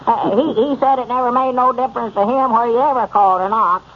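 Casting: high-pitched, literal-minded old Southern country storekeeper, gullible worrier, vintage radio comedy, muffled noisy sound. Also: male voice, fairly even intonation, fast talker. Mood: matter-of-fact. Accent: rural Southern US. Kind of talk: 1930s radio comedy acting.

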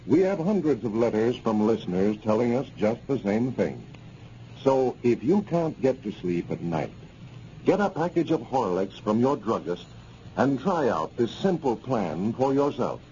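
Mellow, expressive American radio announcer man. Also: medium pitch, measured pace. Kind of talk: 1930s radio sponsor narration, scripted. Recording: muffled, noisy 1930s radio recording.

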